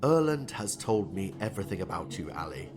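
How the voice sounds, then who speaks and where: An actor playing a proud, eager male inventor in an audiobook drama, with an earnest, expressive delivery. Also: low-pitched, fairly quick. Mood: giddy, confiding. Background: soft background music.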